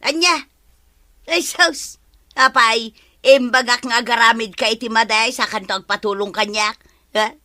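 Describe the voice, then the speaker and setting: A woman acting a loud, nagging village woman in an Ilocano comedy radio drama, with an Ilocano accent. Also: a little high, steady tone, measured pace, dry recording, clean studio sound. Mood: irritated. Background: music.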